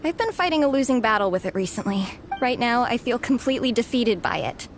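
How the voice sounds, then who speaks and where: A woman playing an excitable young student and comic fanatic in a video game, theatrical and over-earnest. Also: a little high, brisk. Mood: pleading, defeated. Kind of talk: video game character dialogue. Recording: game music behind voice.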